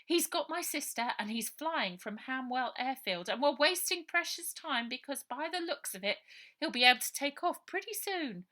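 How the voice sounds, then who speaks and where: A woman's voice: high voice, animated, moderate pace, sharp tone, voice pushed up. A woman voicing a determined, cheeky young girl in a 1930s children's adventure story. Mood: urgent pleading. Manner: insistent, defiant.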